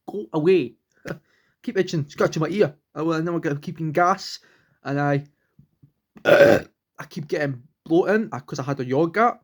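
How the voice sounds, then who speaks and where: A young man, vlogging at home, rambling earnestly and restarting sentences as he searches for words. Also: fairly high pitch. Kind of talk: rambling personal vlog.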